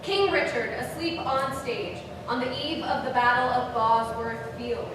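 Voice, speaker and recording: High-voiced woman narrating a staged reading. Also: unhurried. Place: live staged reading venue. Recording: very echoey hall, distant, noisy.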